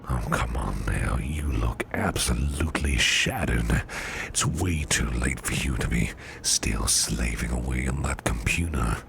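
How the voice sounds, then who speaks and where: A man playing a flirtatious, protective businessman boss in a soft, slow, soothing ASMR roleplay, with a deep, gravelly near-whisper. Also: slight background noise, heavily acted. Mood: surprised, gentle. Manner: slow, soothing, teasing, repeats phrases softly.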